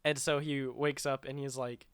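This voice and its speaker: A man's voice: mid-range voice, little pitch movement, normal speed, clean tone, dry recording. A man co-hosting a show, chatting casually about video games in a rambling, loosely explained way. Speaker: video game show co-host.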